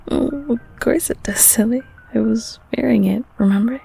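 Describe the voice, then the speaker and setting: A woman voicing a loving, clingy, protective girlfriend in an intimate ASMR roleplay, speaking in a soft whispery voice with tender, gentle cooing. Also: a little high, a little slow, gravelly, breathy edge, faint music behind, heavily acted. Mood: tender, playful. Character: loving clingy girlfriend, secretly eldritch being, soothing and protective. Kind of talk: ASMR audio roleplay, intimate one-sided scene.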